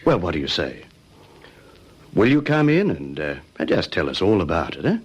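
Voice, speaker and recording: An actor playing a patient senior detective in an old radio drama, courteous and coaxing. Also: male voice, sits low, sing-song, normal speed, breathy edge, full character voice. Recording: dull vintage radio recording.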